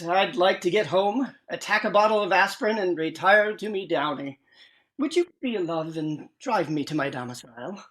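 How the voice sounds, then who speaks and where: A man's voice: high voice, a bit bright, low-quality recording. A man playing a fussy, superstitious sidekick in an old-time radio drama, with an affected, florid way of talking.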